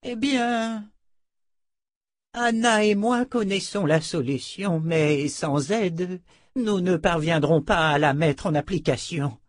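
A man's voice: high-pitched, slow pace, no room sound, clean studio sound, pitched above the performer's own voice. A man voicing a kindly old wizard, weary and short of breath, in an audiobook fantasy tale. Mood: exhausted. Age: older. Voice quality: breathy, faltering.

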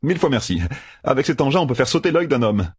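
Fantasy audiobook rogue, played by a man: young, cocky, mocking, animated delivery, pitched well up. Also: quick pace, breathy edge, no echo, clean studio sound. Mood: breezy. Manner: glib, exclamatory, mocking banter. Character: cocky, grinning young rogue gambler, teasing and brash. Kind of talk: audiobook fantasy character dialogue.

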